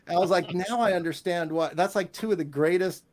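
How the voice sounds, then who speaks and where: A man speaking casually as a guest composer and teacher, telling animated anecdotes and acting out what people said. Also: high-pitched, brisk. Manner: animated anecdotes, quotes others and sings musical examples. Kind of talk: casual interview storytelling.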